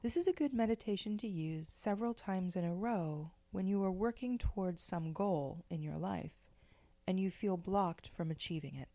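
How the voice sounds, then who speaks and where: A woman leading a guided meditation in a gentle, measured, soothing way, with pauses between phrases. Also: medium pitch, no echo. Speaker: guided meditation leader, spiritual director.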